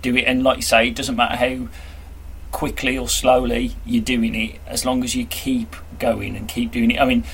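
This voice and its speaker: A man's voice: mid-range voice, little pitch movement, normal speed, creaky, slight background noise, poor audio. A man hosting a podcast interview, chatting casually and encouraging his guest with brief affirmations. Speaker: podcast host.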